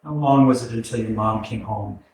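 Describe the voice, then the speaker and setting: A man's voice: lowish voice, moderate pace, darkish tone, close to an everyday voice. A man in a staged play reading, acting a victim who questions a killer in a searching, hesitant manner. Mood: probing. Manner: searching, hesitant, repeats phrases. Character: probing male questioner, a killer's victim demanding remorse. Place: arts center stage, indoors, recorded from afar. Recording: very echoey hall, distant mic, background noise.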